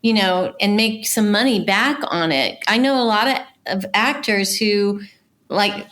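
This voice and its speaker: A woman speaks casually about her trade. Her voice is mid-pitched, and she explains things conversationally, like a casting director chatting in an interview. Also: measured pace, fairly bright tone. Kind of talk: casual shop talk.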